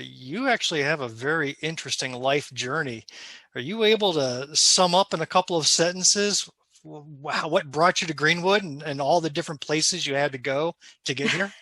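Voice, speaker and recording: Guy from new york hosting a q&a, kinda high bright voice, dry close sound. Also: dry recording. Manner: friendly, slightly halting. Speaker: host, party member. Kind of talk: introducing and interviewing a guest.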